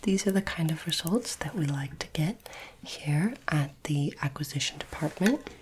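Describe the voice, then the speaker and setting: A woman doing a soft-spoken, whispered ASMR roleplay as a polite, formal alien interviewer, with deliberate phrasing. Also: sits low, expressive, a little hiss or hum.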